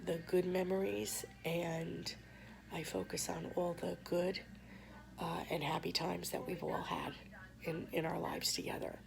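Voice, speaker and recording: A woman vlogger losing her voice to hoarseness, telling a personal story to camera in a soft, halting way with many pauses. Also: fairly low pitch, rough recording.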